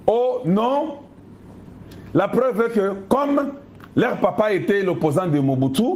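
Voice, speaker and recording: A man with a Congolese French accent speaks as a political commentator. His voice sits a little high and swings widely as he presses pointed rhetorical questions. A faint hiss of background noise runs under him. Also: a little mellow. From Democratic Republic of the Congo. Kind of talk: impassioned monologue addressing viewers.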